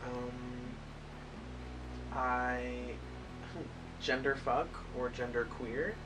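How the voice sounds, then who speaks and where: A man's voice: medium pitch, flat delivery, extremely slow, recorded from a distance, noisy background. A man in his twenties, a student giving reflective answers in an interview. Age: 20s. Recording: music under the voice.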